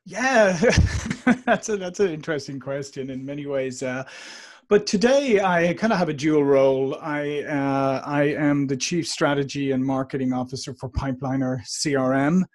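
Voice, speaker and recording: A man in his forties with a Dublin Irish accent, chatting as a podcast guest over a remote call, animated and often restarting his sentences. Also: a little high, moderate pace. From Ireland. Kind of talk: conversational interview.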